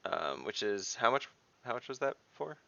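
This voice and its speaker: Man, tabletop player, heavy vocal fry, casual halting talk, close dry slightly muffled voice-chat audio. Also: medium pitch, big pitch swings, pushed and bright, no room sound. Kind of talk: casual online game chat.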